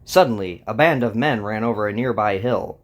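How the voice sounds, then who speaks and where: A man's voice: lowish voice, moderate pace. A man hosting and narrating a scripted dramatized story. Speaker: narrator and host.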